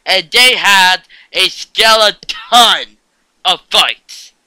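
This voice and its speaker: A man's voice: high-pitched, extremely slow, background noise. A man hosting an online video, giving casual fan-theory commentary in a jokey, sweary way.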